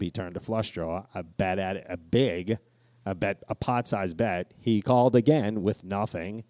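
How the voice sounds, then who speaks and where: A man's voice: low voice, dark tone, dry recording. A middle-aged man co-hosting a casual podcast, telling stories with swearing and repeated words for emphasis. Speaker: podcast co-host. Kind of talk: casual podcast banter and storytelling.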